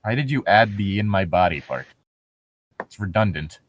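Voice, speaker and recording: Animated low-voiced man reading aloud. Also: moderate pace, slight background noise. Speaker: co-host reading horror stories for laughs. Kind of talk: mocking comedic read-aloud of amateur horror fiction.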